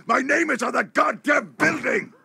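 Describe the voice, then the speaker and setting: An actor playing a blustering, indignant company tycoon in a video game cutscene. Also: male voice, very high voice, normal speed, vocal fry, sharp tone, husky, no echo, heavily acted. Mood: outraged. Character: ornery male company tycoon, bullish and indignant.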